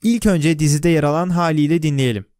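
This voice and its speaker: A man's voice: a little high, fairly quick, a little mellow, clear, resonant tone. A man with a Turkish accent reading scripted explainer narration for a video.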